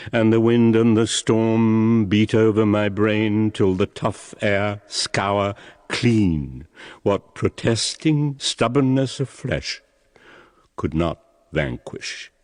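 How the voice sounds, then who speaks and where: An old royal father in a solemn radio drama, stern and worn out. The voice is deep and slow, declaiming gravely in a dry, close acoustic. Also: darkish tone, no room sound.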